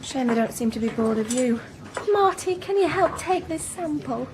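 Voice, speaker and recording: An actress playing a sharp-tongued young female research student in a naturalistic radio drama, with a catty, challenging manner. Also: high-pitched, lively intonation, moderate pace, noisy background. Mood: catty, mocking. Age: young adult.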